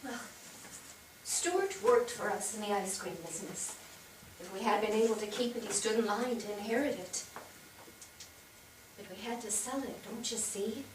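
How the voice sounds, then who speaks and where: A woman playing a grieving older widowed mother in a staged reading, with a plaintive, halting delivery full of shame and regret. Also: medium pitch, close to an everyday voice. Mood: regretful. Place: theatre stage, picked up from far off. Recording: distant echoey theatre, noticeable noise.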